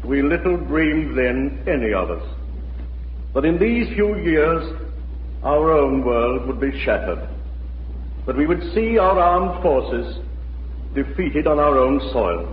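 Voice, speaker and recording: An actor playing a solemn, grieving preacher, delivering a grave, deliberate sermon in a 1940s radio drama. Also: male voice, medium pitch, a little hiss or hum. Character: solemn, grieving male preacher in a conquered country. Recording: echoing, narrow-band old radio recording, music behind.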